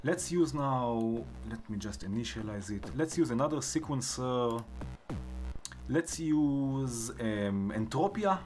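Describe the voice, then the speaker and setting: Live-stream host, Israeli accent, unhurried and musing aloud, music underneath. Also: male voice, medium pitch, lively intonation. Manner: thinking aloud, unhurried, trailing fillers. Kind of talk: live-stream synth patching walkthrough.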